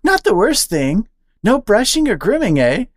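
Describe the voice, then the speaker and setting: A woman voicing a bubbly, brash young female squirrel who blurts things out, with a squeaky excited voice. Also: measured pace, dry recording, studio quality. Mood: excitable. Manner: loud, excitable, high-pitched blurting.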